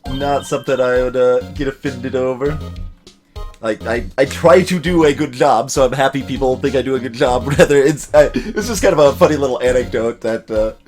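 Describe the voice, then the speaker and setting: A man's voice: medium pitch, moderate pace, faint music behind. A man chatting casually as a let's play streamer, giving live commentary on a game.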